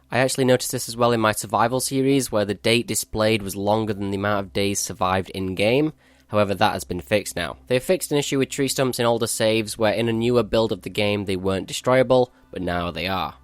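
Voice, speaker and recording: A man's voice: fairly low pitch, no echo. A male gaming channel host giving a matter-of-fact, explanatory walkthrough of a game update.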